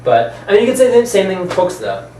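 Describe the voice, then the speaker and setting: A man's voice: high-pitched, wildly swooping pitch, fast talker, warm tone. A man hosting a video channel in casual chat, rambling and informal, sometimes trailing off. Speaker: host of a video channel about films and games. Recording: roomy echo, distant mic, faint noise.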